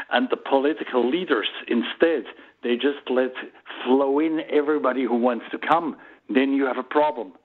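A male interview guest with a Swiss accent, heard over a narrow telephone connection. He picks his words carefully and haltingly, as a politician making his case on the radio. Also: medium pitch. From Switzerland. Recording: thin, band-limited phone line.

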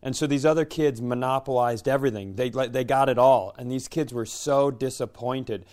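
Clear-voiced American man chatting casually. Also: mid-range voice, normal speed, a little mellow. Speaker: podcast co-host and comedian. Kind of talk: casual comedic chat.

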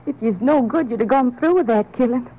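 An actress playing a weary, devoted wife with a rural American Southern accent, plaintive and plain-spoken, in a 1950s radio drama. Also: female voice, a little high, a little fast, dull audio. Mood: tender pleading. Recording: old 1950s radio broadcast recording.

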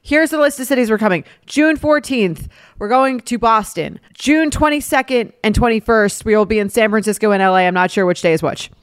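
Woman doing podcast host talk, casual and chatty, crisp voice, super dry sound. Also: fairly high pitch, expressive, fairly quick, no echo. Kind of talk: casual chat, with host-read ad.

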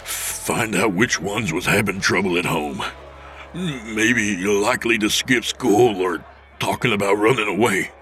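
A man voicing a frightened lowlife who stammers and whines. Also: a little slow, fairly bright tone. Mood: scared. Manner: stammering, whining. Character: scared whiny adult male lowlife.

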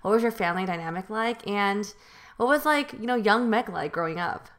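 A woman's voice: medium pitch, a little fast, fairly bright tone. A woman co-hosting a podcast, asking questions in a casual way, full of "like" and "you know". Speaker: podcast co-host. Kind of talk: casual interview chat.

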